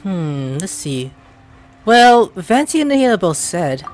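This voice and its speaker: A woman giving casual playthrough commentary as a gaming host, reacting excitedly in short bursts. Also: on the low side, slow pace. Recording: game music behind the voice.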